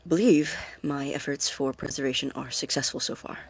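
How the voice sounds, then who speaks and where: Husky, obsessive scientist. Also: male voice, mid-range voice, fairly even intonation. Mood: clinical, preoccupied. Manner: clinical, precise, halting. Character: obsessive male scientist logging unethical experiments on tape. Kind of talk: audio drama, dictated experiment log.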